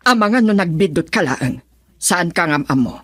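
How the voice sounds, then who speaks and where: A woman's voice: lowish voice, lively intonation, normal speed, husky, no room sound. An actress playing a proud, guarded young woman in an Ilocano radio drama. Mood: curt, defensive.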